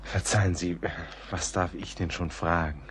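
An actor playing a courteous, rational gentleman writer in a radio drama, speaking in a formal, earnest way. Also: sits low, steady tone, normal speed, noisy background, lightly acted. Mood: gentle, solemn. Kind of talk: German radio play acting.

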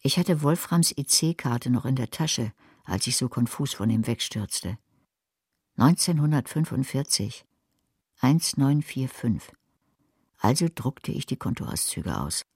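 An actress playing a sardonic, greedy retired librarian in a radio drama, older, with a dry, wry, literary delivery. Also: female voice, rumbling low, darkish tone, breathy edge, no room sound, exaggerated voice. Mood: calculating, dry. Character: greedy, sardonic retired librarian woman narrating her story. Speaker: narrator and lead character. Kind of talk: radio drama narration and dialogue.

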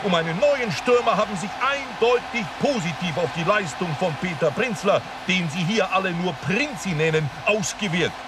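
A man playing an excitable football commentator delivering match commentary in a radio drama. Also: sits high, normal speed, hardly any pauses. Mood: excited, proclaiming. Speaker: sports commentator. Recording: noisy sports broadcast ambience.

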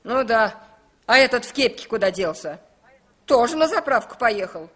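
High-pitched, fretful, bossy woman. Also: big pitch swings, moderate pace, fairly bright tone. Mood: indignant. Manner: fussy, scolding, colloquial. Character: bossy, fretful, nagging middle-aged woman passenger in a bus queue. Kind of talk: 1960s Soviet radio play acting. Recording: noisy old 1960s radio recording.

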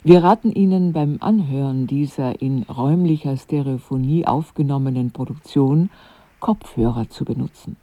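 Male announcer for scripted radio introduction, very mellow timbre, close and dry. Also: medium pitch, moderate pace, no echo, lo-fi sound.

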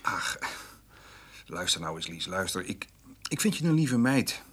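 An actor playing a proud, gruff and stubborn male farmer in a radio drama, blunt and plain-spoken. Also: sits low, very animated, a little slow, exaggerated voice. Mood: proud, firm.

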